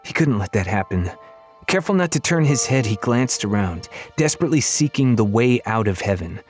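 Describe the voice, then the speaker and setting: A man's voice: medium pitch, fairly quick. Man narrating fantasy audiobook over music. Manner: expressive storytelling. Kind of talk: scripted dramatic narration of a children's fantasy novel.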